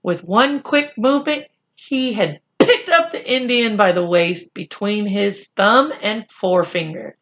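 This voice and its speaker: A woman reading a children's chapter book aloud in a deliberate, expressive storytelling style. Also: mid-range voice, muffled recording. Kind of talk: read-aloud storytelling narration.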